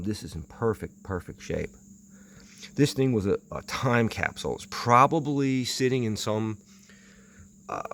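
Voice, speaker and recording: A man with a low voice talks his way through a close inspection on camera. He rambles and hesitates, often muttering to himself. There is faint noise behind him. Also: darkish tone. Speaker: vintage audio enthusiast presenting a video. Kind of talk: unscripted hands-on inspection commentary.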